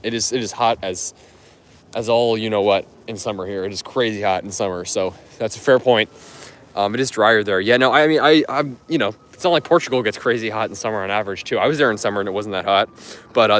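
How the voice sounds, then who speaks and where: A man with a lowish voice talks quickly and loosely, answering his viewers as he strolls through a garden. His speech is friendly and rambling, full of "um" and "you know" and false starts. The sound is a phone on a live stream outdoors. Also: fairly bright tone, a little hiss or hum. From United States. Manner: rambling, friendly, many fillers and restarts. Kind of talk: casual live-stream chat while walking.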